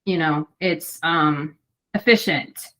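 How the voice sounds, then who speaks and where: A woman's voice: deep voice, slow, a bit bright. A middle-aged woman, an audiobook narrator and voice actor, chatting casually in an interview, talkative with many fillers and restarts.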